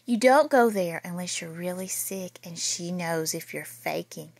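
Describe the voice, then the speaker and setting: A woman in her thirties reading a children's picture book aloud in a small bedroom, with lively storytelling and playful asides, recorded on a phone camera nearby. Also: fairly low pitch, unhurried, creaky, no room sound. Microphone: phone camera held nearby.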